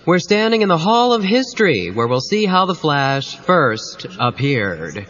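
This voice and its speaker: A man's voice: fairly high pitch, big pitch swings, unhurried, fairly bright tone, full character voice. A man playing a chipper, formal tour guide in an audio drama, with a rehearsed presentational delivery. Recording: dull cassette sound.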